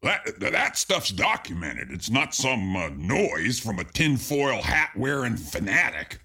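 A man's voice: mid-range voice, huge pitch swings, measured pace, sharp tone, breathy edge. A man co-hosting a podcast, chatting casually in an opinionated, emphatic way. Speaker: podcast co-host. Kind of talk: casual chat.

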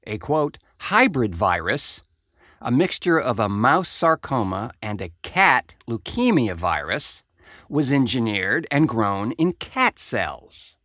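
A man's voice: mid-range voice, very animated, slow pace, bright, forceful tone, no echo, studio quality. An American man hosting a show, reading a report aloud with wry, sardonic conversational asides. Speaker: podcast host.

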